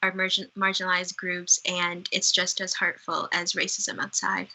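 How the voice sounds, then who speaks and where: A woman's voice: on the low side, monotone, normal speed, sharp tone, rough recording. A young woman from Uganda with a Canadian accent, speaking candidly as a guest. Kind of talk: casual conversation.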